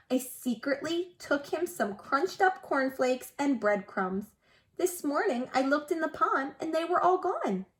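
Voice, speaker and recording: A woman's voice: high voice, fairly bright tone, heavily acted. A woman voicing an earnest young girl writing letters in a picture-book read-aloud.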